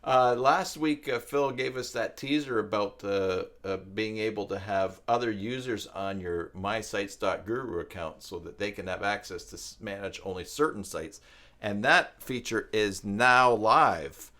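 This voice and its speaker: Middle-aged male live stream host, lowish voice, casual, rambling, thinks out loud. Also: a little slow. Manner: rambling, thinking aloud, repeats himself. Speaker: live stream host, web tutorial maker.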